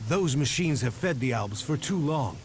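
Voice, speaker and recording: An actor playing a wary, commanding resistance leader in a video game, with a stern, demanding and deliberate delivery. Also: male voice, mid-range voice, expressive, a little hiss or hum, lightly acted. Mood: resolute.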